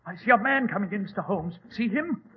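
A male actor playing an anxious, excitable British museum doctor in a 1940s radio drama. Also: high-pitched, a little fast, crowd noise. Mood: frightened. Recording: muffled old radio recording.

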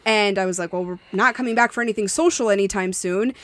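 A woman co-hosting a podcast, talking candidly in a blunt, profane, animated way. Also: mid-range voice, quick pace, no room sound. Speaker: podcast co-host. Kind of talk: candid casual chat. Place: Las Vegas.

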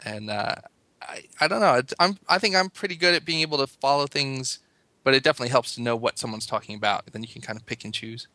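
A man's voice: medium pitch, fast talker, bright, forceful tone, no room sound. An American man chatting casually as a host, rambling through his points with many fillers. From United States. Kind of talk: casual podcast chat.

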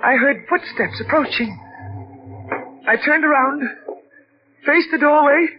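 An actress playing a determined, overwrought young daughter with intense delivery, in 1940s radio mystery style. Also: female voice, high-pitched, unhurried. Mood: haunted narration. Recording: noisy, dull vintage radio recording.

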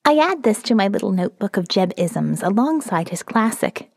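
A woman's voice: medium pitch, big pitch swings, moderate pace, darkish tone, no room sound, clean studio sound, long reverb tail. A woman reading as a sardonic young college student narrating her survivor's diary in a wry, profane, conversational way for an audio novel. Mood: wry, confiding. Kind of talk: first-person diary narration, dramatic reading.

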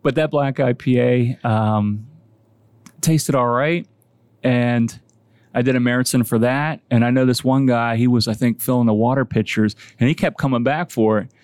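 A man's voice: mid-range voice, steady tone, normal speed, darkish tone, clean tone, no echo. A man, a homebrewer guest chatting casually on a podcast, rambling and self-deprecating with many restarts.

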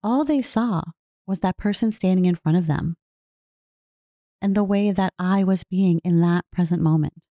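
A woman speaking in an earnest, heartfelt way, reflecting slowly on her own life. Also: on the low side, mellow, dry recording, studio quality. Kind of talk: reflective personal talk.

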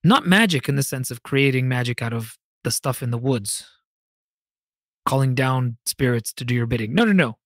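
A man hosting a solo podcast, speaking in a literate, opinionated way with emphatic phrasing and deliberate pauses, like a reflective essay read to listeners. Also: medium pitch, lively intonation, a bit bright, no echo, studio quality. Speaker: podcast host.

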